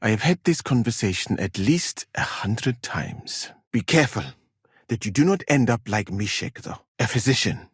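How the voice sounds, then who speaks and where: Husky, formal physician. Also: male voice, medium pitch, lively intonation, no room sound, clean studio sound. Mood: indignant, proud. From Mali. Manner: formal, measured, precise wording. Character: proud, exacting foreign male physician in a medieval war camp. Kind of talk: dramatic video game dialogue.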